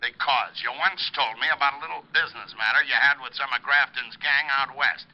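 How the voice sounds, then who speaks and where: An actor playing an exasperated police lieutenant, gruff and easily riled, in a 1950s radio drama. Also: male voice, medium pitch, steady tone, a little fast, close to an everyday voice. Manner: gruff, sputtering, irritable. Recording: dull, lo-fi vintage radio recording.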